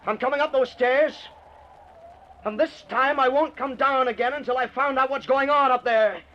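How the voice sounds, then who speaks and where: An actor playing a frightened but defiant husband in a 1940s radio thriller, with blustering, emphatic delivery. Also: male voice, extremely high pitch, moderate pace. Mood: angry defiance. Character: heavily built husband, frightened but stubborn, defiant skeptic. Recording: muffled, faintly noisy old radio recording.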